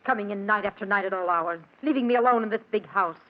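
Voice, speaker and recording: Nagging, suspicious wife, very high and whining, 1940s radio style, dull vintage sound. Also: female voice, lively intonation, fast talker. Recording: muffled old radio broadcast.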